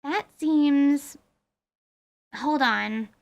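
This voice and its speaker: Curious young girl adventurer for fantasy radio theatre, played by a woman: very high, gravelly edge, blunt and colloquial. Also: very slow, lo-fi sound, exaggerated voice. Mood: curious, pondering. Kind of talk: dramatized tabletop role-playing audio drama.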